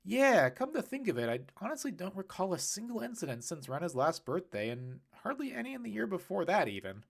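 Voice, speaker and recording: A skeptical, casual man, played by a male narrator in a storybook reading. His voice sits raised in pitch, and he talks in an informal, emphatic, teasing way. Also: huge pitch swings, a little fast, vocal fry, few pauses, full character voice. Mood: musing.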